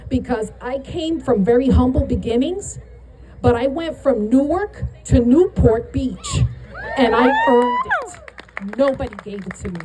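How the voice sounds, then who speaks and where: A woman's voice: a little high, a little hiss or hum, low-quality recording. Emphatic New York woman at a rally. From New York area. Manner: emphatic, punchy, building to shouts. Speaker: rally speaker. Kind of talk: rousing rally speech. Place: outdoor rally.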